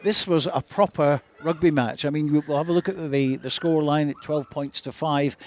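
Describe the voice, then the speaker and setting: A man's voice: a little high, normal speed, a little hiss or hum. A man presenting live post-match chat on sports radio.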